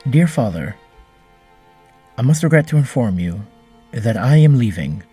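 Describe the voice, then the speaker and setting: A man playing an earnest, gentle android hero in an audio drama, with formal diction. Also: medium pitch, big pitch swings, a little slow, husky, faint music behind. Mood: earnest, tender.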